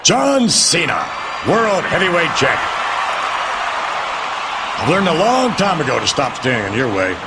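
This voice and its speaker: An actor voicing an older male wrestling chairman in a video game cutscene, announcing the winner in a booming, commanding way. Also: huge pitch swings, a little slow, pauses often, a loud crowd, heavy background noise, heavily acted. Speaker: company chairman presenting the winner.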